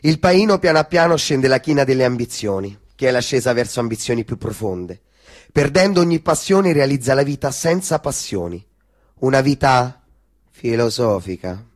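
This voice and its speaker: A man's voice: mid-range voice, animated, moderate pace. A man performing theatrical narration. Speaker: stage performer.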